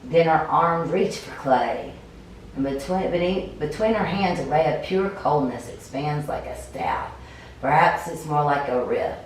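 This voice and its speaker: Low-voiced woman in a very echoey room. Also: a little slow. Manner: stumbles over words while reading. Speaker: player reading a story game aloud. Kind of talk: cold read of game narration with casual commentary. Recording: very echoey room, distant mic, faint noise.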